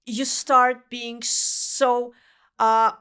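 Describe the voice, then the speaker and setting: A young woman with a Russian accent speaks English as a second language, her voice sitting a touch high. She talks earnestly in a casual interview, often restarting her sentences and choosing words carefully. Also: level tone, a bit bright, smooth. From Uzbekistan. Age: young adult. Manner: earnest, halting non-native phrasing with restarts. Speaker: podcast guest.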